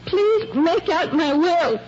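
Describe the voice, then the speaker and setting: An actress playing an anxious, secretive young heiress in a 1940s radio drama, pleading with urgency. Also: female voice, very high voice, animated, slow, a little mellow, full character voice. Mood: pleading, insistent. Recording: muffled vintage radio recording, faint hiss.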